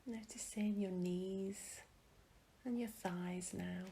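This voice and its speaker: Woman, meditation guide, very unhurried, soft and breathy, gravelly creak, soothing pauses. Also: medium pitch, steady tone. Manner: soft, breathy, soothing, gently pausing delivery.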